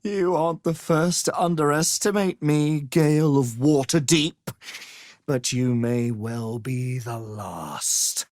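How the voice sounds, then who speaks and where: An actor playing an arrogant, power-hungry wizard in a video game, speaking in a pompous, theatrical and condescending manner. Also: male voice, a little high, slow, a little mellow. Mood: scornful.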